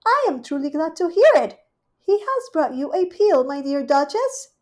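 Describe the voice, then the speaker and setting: Woman playing a prim fussy cat hostess, very high and fluttery, storybook. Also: big pitch swings, moderate pace. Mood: solicitous. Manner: solicitous and prim, sharp when annoyed. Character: fussy, kindly female cat hostess, quick-tempered.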